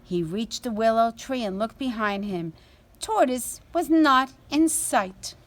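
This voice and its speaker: A woman reading a children's story aloud as a storyteller, in an expressive, deliberate way. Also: fairly high pitch.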